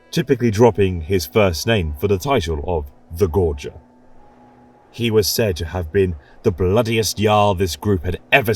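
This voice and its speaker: Slow, husky male storyteller with a theatrical lecturer's delivery. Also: low voice, a little mellow. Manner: theatrical, ominous pauses. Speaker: storyteller posing as a history lecturer. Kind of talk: dramatic fantasy storytelling.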